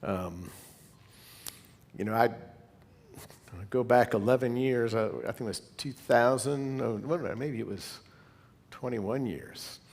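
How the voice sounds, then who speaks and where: A man speaks in a big reverberant space, his words trailing a long echo. He presents to fellow hobbyists as a club member would, informally and conversationally, drifting into asides. His pitch sits in an ordinary middle male range. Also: measured pace, long pauses, darkish tone.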